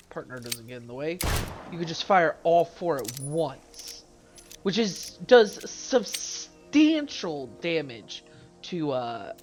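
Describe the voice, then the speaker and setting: Stuffy-nosed man narrating a game. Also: a little high. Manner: rambling, self-correcting, talking through game stats. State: stuffy nose from a cold. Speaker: let's play host. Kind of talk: casual gameplay commentary.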